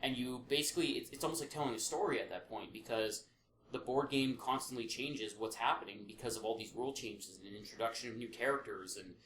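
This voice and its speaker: A man's voice: mid-range voice, fast, fairly bright tone. A man co-hosting a podcast, chatting casually and explaining things with enthusiasm. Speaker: podcast co-host. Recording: echoey room with background noise.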